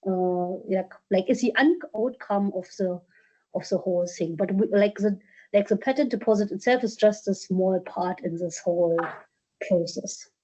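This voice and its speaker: A woman with a mellow, dark voice answers questions at a workshop. She talks in a halting way, full of fillers and restarts. The sound is dull and lo-fi. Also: medium pitch. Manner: hesitant, many fillers and restarts. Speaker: presenter from a culture collection. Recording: dull lo-fi conference audio.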